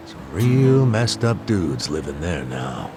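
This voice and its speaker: An actor voicing a jaded, streetwise middle-aged former detective in a video game, casual and sardonic, with a goading way of talking. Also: male voice, rumbling low, big pitch swings, slow, exaggerated voice. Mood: grim, matter-of-fact. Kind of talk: video game cutscene voice acting. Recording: dramatic music behind the voice. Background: loud background music.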